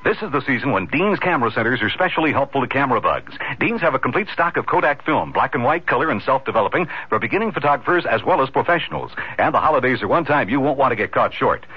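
Fast, husky male ad announcer. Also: mid-range voice, expressive. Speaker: commercial announcer. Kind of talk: scripted local radio advertisement. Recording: muffled 1970s radio broadcast.

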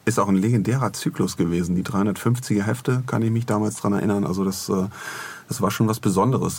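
A man's voice: fairly low pitch, darkish tone, breathy edge. A man co-hosting a podcast in casual, joking conversation. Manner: joking, bantering. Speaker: podcast co-host.

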